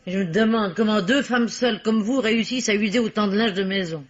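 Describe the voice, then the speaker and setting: An actress playing a nosy, grumbling household seamstress in a radio drama, talking in a colloquial, familiar way. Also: female voice, mid-range voice, fast talker, slight background noise, natural voice. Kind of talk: old French radio drama acting. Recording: dull vintage radio recording.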